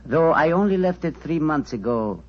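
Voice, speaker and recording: A man playing a courteous, formal foreign courtier with measured manners in a 1940s radio drama. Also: fairly high pitch, lively intonation, natural voice. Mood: polite, formal. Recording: dull, lo-fi 1940s radio recording.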